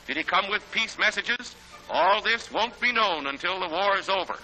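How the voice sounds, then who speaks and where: A man's voice: high voice, lively intonation, moderate pace, low-quality recording. An American man narrating a 1940s newsreel in a dramatic, declamatory manner. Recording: 1940s newsreel soundtrack with loud music.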